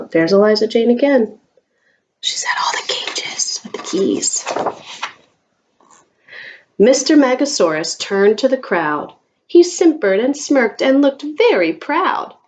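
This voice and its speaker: A woman reading a picture book aloud to a young child, expressive and coaxing, in the manner of a teacher. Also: a little high, slow, pauses often, rough recording. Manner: expressive, coaxing talk aimed at small children.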